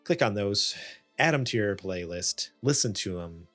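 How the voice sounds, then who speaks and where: A man's voice: fairly low pitch, expressive, a little slow, a bit bright, no room sound. A man of about thirty hosting a podcast with casual, jokey, self-deprecating banter. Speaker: podcast host. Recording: music playing behind. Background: background music.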